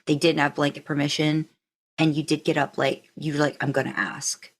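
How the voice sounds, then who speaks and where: A woman's voice: deep voice, flat delivery, normal speed. A woman co-hosting a podcast, asking questions in an informal, encouraging, chatty way. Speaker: podcast co-host. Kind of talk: casual interview chat.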